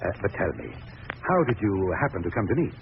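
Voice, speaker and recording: An actor playing a smooth, condescending, crooked doctor in an old radio drama, with polished, patronising speech. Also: male voice, sits low, very animated, brisk, exaggerated voice. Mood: smooth, probing. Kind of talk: 1940s radio drama acting. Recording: noisy narrow-band vintage radio recording.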